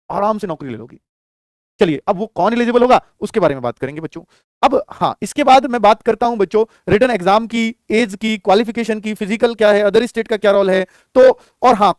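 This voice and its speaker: A high-pitched man teaches like a coaching instructor. He talks fast in a dry, close recording, addressing students familiarly and posing questions that he answers himself. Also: dry recording. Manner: explanatory, addresses listeners familiarly, asks and answers own questions. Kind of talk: lesson on job vacancies addressed to students.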